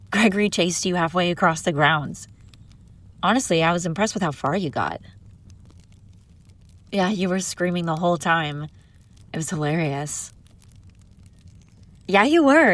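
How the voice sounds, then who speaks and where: A woman playing a haughty, bratty young runaway princess in a roleplay audio drama, sarcastic and bickering with the listener. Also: lowish voice. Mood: amused, nostalgic.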